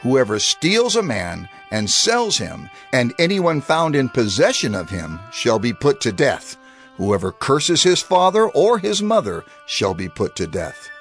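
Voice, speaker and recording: A man's voice: mid-range voice, big pitch swings, a little slow, a bit bright, soft background music. A man playing Moses as a solemn prophet and lawgiver in a dramatized scripture audio drama, with emphatic, declarative delivery. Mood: solemn, stern.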